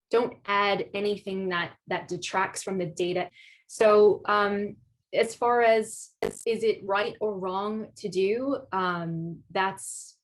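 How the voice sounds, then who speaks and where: A woman's voice: medium pitch, low-quality recording. A woman artist answering audience questions at a talk, thoughtful and hesitant, with many fillers and restarts. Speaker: guest speaker, artist.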